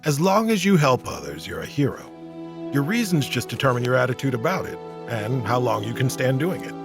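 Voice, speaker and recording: Wry, weary hero, slightly gravelly. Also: male voice, medium pitch, very animated, a little fast, music in the background. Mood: reflective. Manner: wry, candid, gently reassuring. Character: weary, kind, self-deprecating male monster-slaying hero. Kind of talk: audio drama acting.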